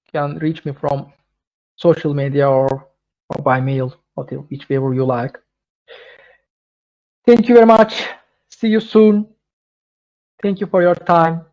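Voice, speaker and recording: A man with a Turkish accent, a native Turkish speaker, answering questions in a webinar in halting English full of restarts and fillers. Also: fairly high pitch. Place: London. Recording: dull lo-fi call audio.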